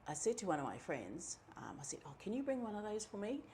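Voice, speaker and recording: A middle-aged woman from New Zealand with a New Zealand accent, telling her own story in a relaxed, chatty way, with many ums and asides. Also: mid-range voice, fast talker, a little hiss or hum. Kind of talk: casual personal storytelling.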